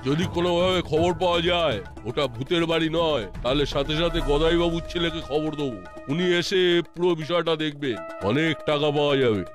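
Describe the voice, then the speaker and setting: Man playing a scheming, high-pitched cartoon ringleader. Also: a little fast, over music, lightly acted. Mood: commanding. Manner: commanding, calculating. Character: scheming ringleader man directing his hired spies. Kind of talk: cartoon dialogue.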